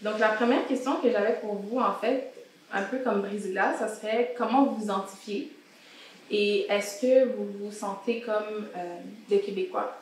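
A woman's voice: medium pitch, normal speed. Young woman, host, friendly moderator, very echoey indoor room, faint background hum. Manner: friendly, guiding questions. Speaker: host and founder of a round-table show. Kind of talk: casual panel discussion. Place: indoor salon with brick walls.

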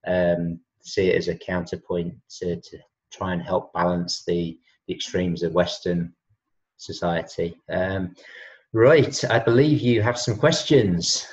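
50ish guy on a video call, lowish voice, lots of ums and restarts, muffled laptop sound. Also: unhurried. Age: 50s. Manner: relaxed, chatty, many fillers and restarts. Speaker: health and wellness coach. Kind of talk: casual video call chat. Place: home room with shelves. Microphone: laptop webcam on a video call. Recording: dull lo-fi video call audio.

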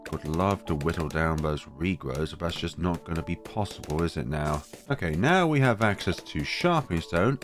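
A man with a cold narrating gameplay in a casual, wry way, talking to his game units as he plays. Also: low voice. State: has a cold, voice deeper than usual. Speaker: game guide creator.

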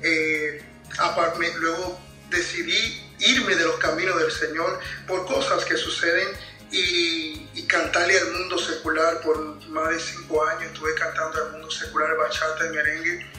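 Dominican male interview guest, higher-pitched and very bright, roomy video-call sound. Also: flat delivery, moderate pace, soft background music. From Dominican Republic. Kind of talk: interview testimony. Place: indoors at home, on a video call. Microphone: tablet video call.

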